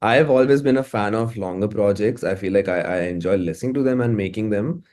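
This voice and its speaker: Casting: Indian-accented man, low mellow voice, informal interviewee who doubles back on his words. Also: a little fast. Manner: informal, stammering repetition of words as thoughts form. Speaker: musician and music producer being interviewed. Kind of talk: casual interview chat.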